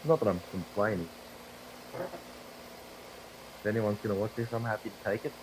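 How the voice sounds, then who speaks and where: A young man with a cold and a hoarse, fading voice, rambling profanely and mocking himself while commentating a driving game. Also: fairly low pitch, steady tone, rapid-fire, noisy background. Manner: profane, rambling, self-deprecating. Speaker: gaming video creator. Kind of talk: casual gameplay commentary. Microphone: desktop microphone.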